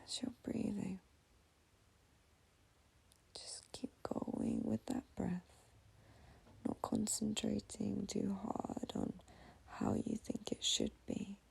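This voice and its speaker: Woman doing guided meditation, super breathy n husky, lots of creaky fry, slow n gentle w long pauses. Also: medium pitch, fairly even intonation. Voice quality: breathy, husky, half-whispered.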